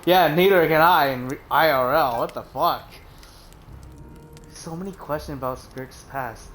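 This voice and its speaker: A woman streamer giving casual gaming commentary, reacting with loud exclamations and plenty of swearing. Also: low-pitched, unhurried.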